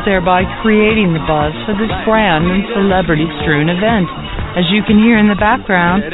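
A woman's voice: lowish voice, natural voice. Woman playing an upbeat roving reporter on a phone line. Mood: upbeat announcing. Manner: scripted, announcer-like, tongue-in-cheek. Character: upbeat female roving reporter at a celebrity radiothon. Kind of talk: mock live radio remote report. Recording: phone-like line over loud music. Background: loud music.

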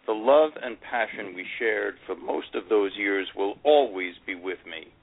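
A man plays an older divorced husband reading from his memoir with wistful regret. His voice sits on the low side, and the sound is thin and narrow, like a phone line or small radio. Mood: wistful, loving. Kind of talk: dramatized first-person memoir reading.

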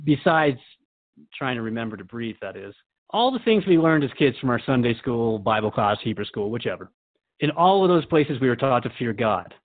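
A man's voice: medium pitch, sing-song, moderate pace, narrow, tinny audio, close to an everyday voice. A man playing a curious, wry radio host who questions his guest conversationally in an audio drama. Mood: thoughtful, hesitant. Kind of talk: scripted radio drama interview.

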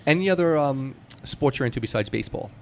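A man's voice: medium pitch, lively intonation, fast, a little hiss or hum. An American man hosting a casual interview with a fan's enthusiasm, often repeating and stammering over words. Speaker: interview host. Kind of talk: casual sports-fan chat.

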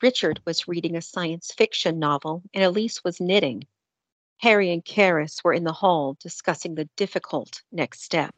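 A woman's voice: fairly low pitch, moderate pace, no room sound. A woman narrating a scripted audio drama in a descriptive storytelling manner.

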